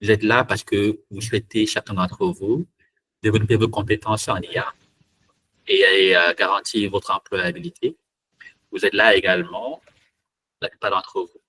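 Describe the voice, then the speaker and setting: A man's voice: lowish voice, steady tone, moderate pace, sharp tone, low-quality recording. A man from Cameroon hosting a live online tech meetup, talking hesitantly with many fillers and restarts. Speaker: meetup host and trainer.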